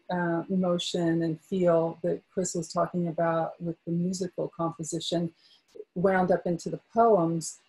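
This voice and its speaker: A woman reading her poems at a book launch, slow and soft-spoken, with gentle fillers between readings. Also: lowish voice, breathy edge, rough recording. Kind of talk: poetry reading with casual asides.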